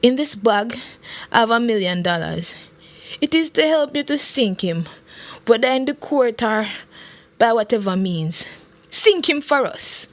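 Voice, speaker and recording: A woman's voice: fairly high pitch, animated, measured pace, pauses often, no echo. A woman voicing an anguished woman politician in a storybook, pleading desperately. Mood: urgent, vengeful. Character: anguished woman government minister confessing a secret.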